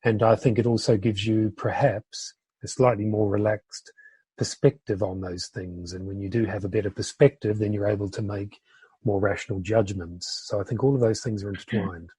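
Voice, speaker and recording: A man in his fifties from the United Kingdom speaks as a podcast guest, thoughtful and a bit hesitant. His voice is low, very husky and mellow. The sound is dry and close. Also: fairly even intonation, moderate pace, no room sound. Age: 50s. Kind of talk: conversational interview.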